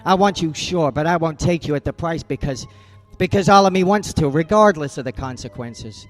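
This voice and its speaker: Male actor as tough radio-drama detective, clipped and menacing, slightly raised pitch. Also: normal speed, soft background music, lightly acted. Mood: brooding. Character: hard-boiled male private eye, cold. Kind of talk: 1940s-style radio drama acting.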